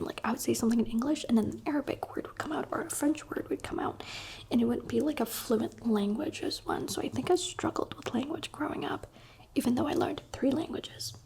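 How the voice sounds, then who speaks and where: A woman's voice: fairly high pitch, gravelly, darkish tone. Whispering young woman, chatty ASMR. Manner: unvoiced whisper, chatty and candid. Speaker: ASMR creator.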